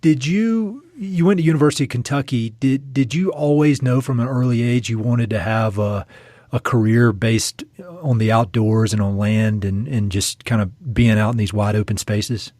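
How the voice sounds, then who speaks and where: A man's voice: mid-range voice, normal speed, darkish tone, no echo, studio quality. A middle-aged man hosting a podcast, reading a scripted intro and then chatting with a guest in an easygoing way. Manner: easygoing, conversational. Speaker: podcast host.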